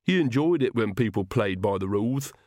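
A man voicing a loyal, proud, gloomy monstrous castle manservant in an audiobook, with slow, deliberate, deferential talk. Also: fairly low pitch, expressive, a little mellow, no echo, studio quality. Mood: fond, nostalgic.

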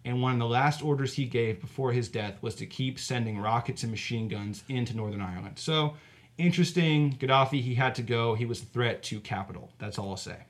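A man's voice: mid-range voice, brisk. A man co-hosting a comedy podcast, talking in an opinionated, casual way. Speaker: podcast co-host.

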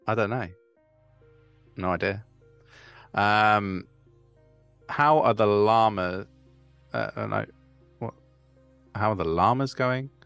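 A man's voice: lowish voice, takes it slowly, gravelly, pauses often, a bit bright, clean tone, no echo, faint music behind. A man co-hosting a casual crypto livestream, chatting loosely and reading out questions from the live chat. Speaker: co-host.

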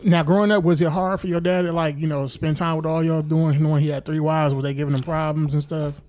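A man's voice: a little high, little pitch movement, quick pace, darkish tone, no echo. A man with an American accent interviewing in a casual, slangy, conversational way. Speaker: interviewer, show host.

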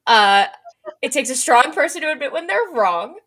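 A woman's voice: high-pitched, very animated, moderate pace, a bit bright. A young woman hosting a casual sports podcast, chatty and self-mocking about her picks. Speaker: podcast host.

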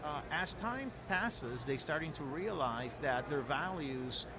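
A man's voice: on the high side, expressive, takes it slowly, noisy background. Earnest man from Puerto Rico outdoors. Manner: earnest, many fillers and repeated words. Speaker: guest, political director. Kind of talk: conversational interview. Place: outdoor event.

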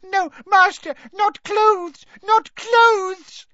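Storybook house elf, female, played by a man: tiny, terrified servant, extremely high squeaky pleading, tearful stammer. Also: fairly even intonation, extremely slow, pushed and bright, dry recording, dull audio, exaggerated voice. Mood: desperate shrieking. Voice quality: squeaky, shrieking.

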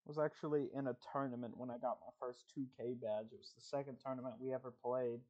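A man's voice: medium pitch, steady tone, fast talker, darkish tone, muffled recording. A man hosting a podcast in casual chat, telling rambling stories with plenty of swearing. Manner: loose, profane, rambling storytelling. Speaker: podcast host.

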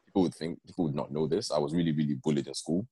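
A young man with a very deep voice, telling personal stories in an earnest, conversational way and often asking "do you know what I mean". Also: fairly even intonation, rapid-fire, dark tone, husky, dry recording. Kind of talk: casual group chat.

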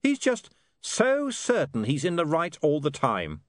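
A haughty young newspaperman from an audiobook, performed by a male reader, nervy yet defiant. He talks in a high, swooping voice with clipped arrogance, recorded close and dry. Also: huge pitch swings, a little slow, dry recording. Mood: shaken, indignant. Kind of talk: audiobook character dialogue.

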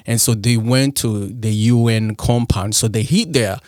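A young man from Rwanda telling his personal story as a podcast guest, soft-spoken and halting, with many fillers and restarts. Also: mid-range voice, dry recording, clean studio sound.

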